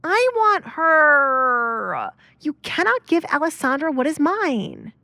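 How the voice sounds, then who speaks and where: A woman's voice: sits high, wildly swooping pitch, takes it slowly, gravelly, pushed and bright, no echo, voice pushed up, full character voice. A woman playing a whiny spoiled young noblewoman. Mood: whiny indignant. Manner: whining, indignant.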